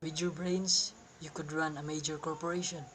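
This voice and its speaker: A woman's voice: low-pitched, flat delivery, normal speed, lightly acted. A woman playing a stern, disapproving older father in an amateur stage play. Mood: needling. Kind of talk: amateur one-act play acting. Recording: noisy recording with clicks.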